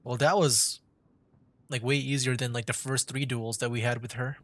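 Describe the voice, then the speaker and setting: A man's voice: medium pitch, steady tone, normal speed, fairly bright tone. A man with a mid-range voice commentates casually on a game he is streaming. He talks in loose, chatty asides, in an informal everyday way. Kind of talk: casual live gameplay commentary.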